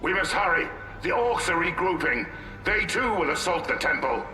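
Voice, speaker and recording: An actor playing a watchful, grave military advisor in a video game, formal and intent. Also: male voice, high-pitched, very animated, moderate pace, sharp tone, exaggerated voice. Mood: urgent. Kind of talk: dramatic video game acting. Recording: echoey, clear music behind.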